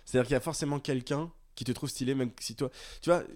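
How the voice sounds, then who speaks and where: A man's voice: medium pitch, quick pace, darkish tone. A man in his twenties from the south of France, co-hosting a podcast in a casual, slangy, rambling conversation. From south of France (Marseille area). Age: 20s. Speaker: podcast co-host.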